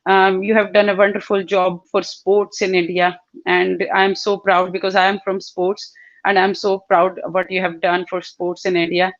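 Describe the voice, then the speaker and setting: A woman pleads earnestly over a video call, her voice gravelly and kept flat in pitch. She pauses often and reaches for words. The audio is dry and dull. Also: dry recording. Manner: pleading, heartfelt, halting with fillers. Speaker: caller on live show.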